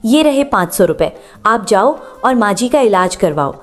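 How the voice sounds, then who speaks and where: A woman's voice: medium pitch, sing-song, normal speed, soft background music, lightly acted. A woman voicing a caring, practical village wife and mother in a cartoon moral story. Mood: concerned.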